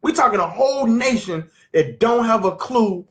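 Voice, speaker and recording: A man, teaching scripture in an animated, questioning way at a family sit-down. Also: high-pitched. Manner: emphatic, questioning, drawn-out teaching. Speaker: Bible teacher. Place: living room at a family sit-down.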